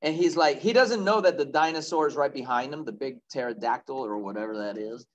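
A man's voice: mid-range voice, brisk, muffled recording. A man reading aloud to young children as a kindergarten teacher, chatty, asking questions and answering them himself.

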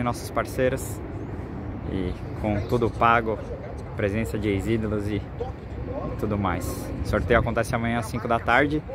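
A young Brazilian man talks in a medium-pitched voice as an informal sports reporter for a fan channel. He goes haltingly, repeating words as he goes. He is outdoors on a phone held at arm's length, with clear ambient noise around him. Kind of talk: informal football vlog report to camera. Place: outdoors on a football training pitch. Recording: outdoor noise with distant vehicles.

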